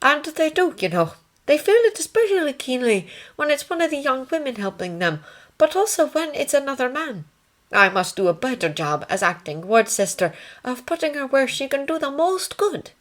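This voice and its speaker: A brisk senior army nurse, put on by a woman narrator, pitched high. She is tactful and motherly, choosing her words with precision in a wartime audiobook. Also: big pitch swings. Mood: tactful, earnest.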